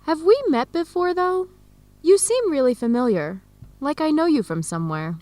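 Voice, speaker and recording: A woman's voice: sits high, big pitch swings, normal speed, a little mellow, no echo. An actress playing a friendly, curious and candid young woman in an educational radio drama.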